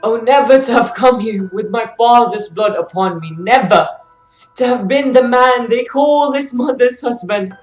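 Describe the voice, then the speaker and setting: A woman's voice: on the high side, a little slow, echoey room, soft background music, lightly acted. A woman playing an anguished, self-cursing blinded king in a dramatic reading of Greek tragedy. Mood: bitter.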